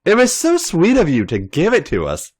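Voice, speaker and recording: This is a bubbly young woman who wears her emotions openly and pushes her ideas with eager persuasion, performed by a man reading her lines. Her voice sits higher and swings widely. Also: wildly swooping pitch, moderate pace, darkish tone, heavily acted.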